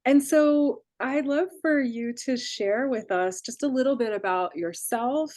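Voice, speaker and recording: A woman's voice: on the high side, measured pace, darkish tone, no echo. Woman interviewer with dry, close sound. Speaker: interviewer, movement studies faculty. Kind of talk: casual, encouraging interview chat.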